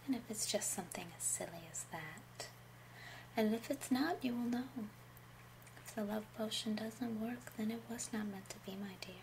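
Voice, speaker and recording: A woman playing a genteel, confiding love-potion witch in an ASMR roleplay, speaking gently in a breathy half-whisper. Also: fairly high pitch, noisy background. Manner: slow, gentle, unhurried, confiding. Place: small home room, indoors.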